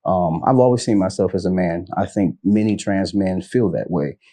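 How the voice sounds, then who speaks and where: A man from Detroit, a panel guest, speaking in a measured, thoughtful way. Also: sits low, mellow. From Detroit, Michigan. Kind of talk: casual discussion.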